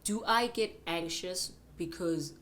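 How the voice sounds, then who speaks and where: A young woman with a deep voice, co-hosting a podcast and talking candidly about her worries. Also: slow, a bit bright, a little hiss or hum. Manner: candid, reflective, trails into restarts. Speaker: podcast co-host. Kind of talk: casual chat.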